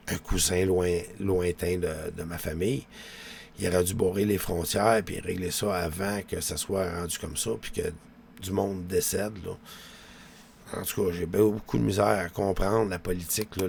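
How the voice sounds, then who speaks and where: A man with a Quebec French accent talks casually to his viewers in a low, creaky voice. His delivery rambles and is very colloquial, peppered with small tags. There is noticeable noise behind him. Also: a little mellow. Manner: colloquial, with frequent verbal tags. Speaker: vlogger.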